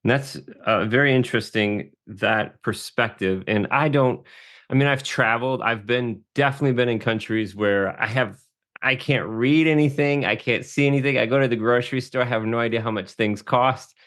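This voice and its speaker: A man's voice: mid-range voice, moderate pace, a bit bright. A man hosting a conversational podcast interview, reflective and often restarting his phrases as he thinks. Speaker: podcast host.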